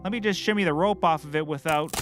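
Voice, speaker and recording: A man playing a bumbling, oblivious, excitable private detective in a scripted audio comedy, melodramatic and cheerfully clueless. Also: fairly high pitch, fast talker, music in the background, close to an everyday voice.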